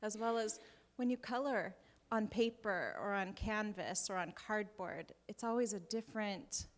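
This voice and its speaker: A woman in the audience muses aloud to the speaker in a mid-pitched voice. Her words carry a long hall reverb, as if heard through the room of a lecture hall. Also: unhurried. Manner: reflective, conversational. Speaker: audience member asking a question. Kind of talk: question from the floor.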